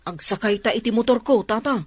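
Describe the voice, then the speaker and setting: A woman's voice: fairly high pitch, brisk, breathy edge, no room sound. A woman acting a helpful, candid young go-between with an Ilocano Filipino accent in a radio drama. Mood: reassuring, persuasive.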